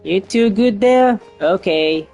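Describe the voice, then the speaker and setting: A man's voice: sits high, animated, slow, a little mellow, faint music behind. Casual, slangy male game streamer. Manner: slangy, reactive. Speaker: game streamer reacting to a story. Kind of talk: casual gameplay commentary.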